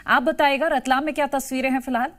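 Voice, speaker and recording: A woman's voice: high-pitched, steady tone, fast, fairly bright tone. A woman with an Indian accent presenting live television news as the anchor.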